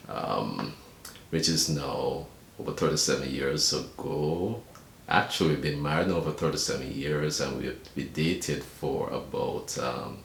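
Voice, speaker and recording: Older Jamaican man, extremely low voice, earnest, unhurried pauses, echoey home room with a low rumble. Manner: measured, earnest, pausing often. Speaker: video blogger and church deacon. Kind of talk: earnest talk to camera.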